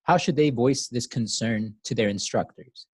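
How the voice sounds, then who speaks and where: A man's voice: mid-range voice, normal speed, husky, dry recording. Man moderating casually, dry close sound. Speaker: moderator of a student open forum. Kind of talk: casual moderating of an online meeting. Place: online video call.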